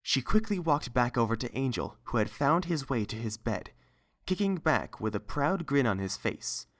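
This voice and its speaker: A man's voice: mid-range voice, expressive, moderate pace. A man reading a scripted story as its narrator.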